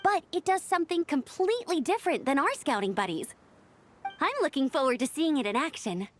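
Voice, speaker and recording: High, lively young inventor. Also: female voice, fairly quick. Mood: enthusiastic. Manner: chipper, explanatory. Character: friendly, enthusiastic young woman inventor. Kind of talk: anime-style video game voice acting. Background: background music.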